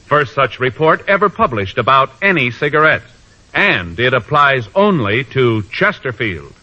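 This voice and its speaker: Forceful, bright American radio announcer man. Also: mid-range voice, sing-song, a little slow. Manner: emphatic, punchy delivery. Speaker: show and commercial announcer. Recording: dull old radio recording.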